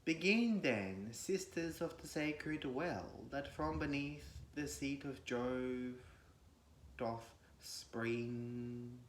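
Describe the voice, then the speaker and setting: A man gives a solemn, declamatory, measured recitation of classical poetry. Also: medium pitch, a little hiss or hum. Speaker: poetry reader. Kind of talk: dramatic recitation of classical verse. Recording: echoey room.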